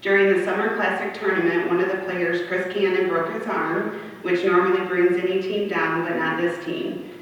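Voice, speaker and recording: A woman's voice: on the low side, fairly even intonation, moderate pace. Woman in a very echoey hall. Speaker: city councilwoman. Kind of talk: formal proclamation reading at a public meeting. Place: large indoor council chamber. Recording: echoey hall from far off, muffled, faint noise.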